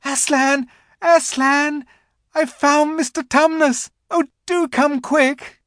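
Man putting on an eager high-pitched little girl. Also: huge pitch swings, extremely slow, fairly bright tone, slightly rough, no echo, studio quality, full character voice. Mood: overjoyed. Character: excited eager young girl.

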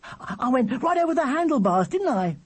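Man voicing a shrill, excitable small boy. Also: brisk. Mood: eager, excited. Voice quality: shrill, squeaky. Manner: endless eager questions, proud. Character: excitable small boy, loud, piercing, eager.

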